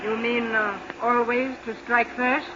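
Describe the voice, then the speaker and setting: A woman's voice: a little high, level tone, unhurried, close to an everyday voice. A woman playing a ruthless, scheming crime boss's daughter in a 1940s radio serial, theatrical and conspiratorial. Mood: suspicious, insistent. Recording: muffled, noisy 1940s radio recording.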